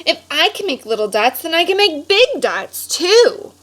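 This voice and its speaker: A woman voicing a stubborn, proud young girl artist from a storybook in an emphatic, expressive way. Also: very high voice, a little slow, voice pushed up, full character voice. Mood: determined.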